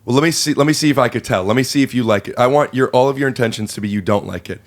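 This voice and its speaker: A man hosting a podcast in casual comedic chat, playful and teasing, his voice a little raspy and rough after being sick. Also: medium pitch, very fast. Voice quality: slightly raspy. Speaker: podcast host.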